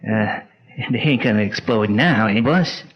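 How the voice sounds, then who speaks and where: A man's voice: fairly low pitch, measured pace, dull audio. An actor playing a nervous, dim gangster henchman with slangy tough-guy speech in a 1940s-style radio drama. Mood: uneasy.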